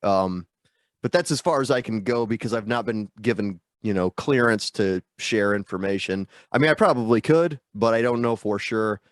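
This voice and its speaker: A man's voice: on the low side, moderate pace, dry recording, studio quality. A man guesting on a video-call interview, stuffy from a sinus infection, rambling and self-deprecating with lots of fillers. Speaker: guest musician. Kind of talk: casual interview chat.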